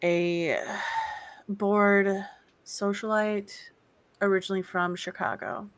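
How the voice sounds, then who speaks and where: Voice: medium pitch, flat delivery, takes it slowly, a bit bright. A performer introducing themself and their character for a tabletop game in a bubbly, theatrical way. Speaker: player. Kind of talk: casual self-introduction.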